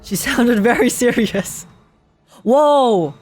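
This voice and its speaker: A young woman with a high voice streams her reactions to a game. She is casual, excitable and giggly, thinking aloud over faint game music. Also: slow.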